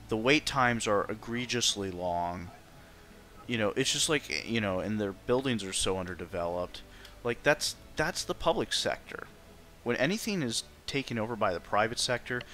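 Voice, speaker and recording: A man's voice: lowish voice, pushed and bright. A man giving his opinions in a casual, conversational debate. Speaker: participant in a group discussion.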